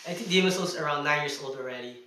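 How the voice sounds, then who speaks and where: A man's voice: on the high side, racing, pushed and bright, far from the mic, slight background noise. A young man, a Tagalog speaker, chatting emphatically in a casual video game review and laughing between points, recorded indoors. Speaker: gaming vlogger. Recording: echoey room, mic set back.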